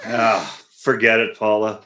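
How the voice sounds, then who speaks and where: A man's voice: lowish voice, flat delivery, a little slow, bright, forceful tone. A man playing a jealous, dry, exasperated and boastful husband in a 1940s-style radio comedy, in an echoey room. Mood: boastful, then deflated.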